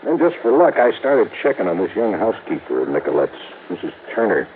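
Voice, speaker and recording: A man's voice: low-pitched, sing-song, fairly quick. An actor playing a blunt, plain-spoken male police sergeant in an old radio drama. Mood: matter-of-fact. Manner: plain-spoken, matter-of-fact. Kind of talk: 1950s radio drama acting. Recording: muffled old radio broadcast with hiss.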